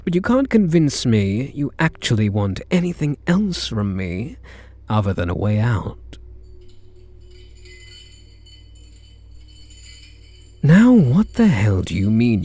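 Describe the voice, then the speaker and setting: Male actor as lovestruck kidnapper, British accent, sweet-talking bouncer, intimate ASMR roleplay, very varied pitch, dry sound. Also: darkish tone, slightly rough, no room sound, heavily acted. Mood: frustrated, protective. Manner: slow, hesitant sweet talk with pet names.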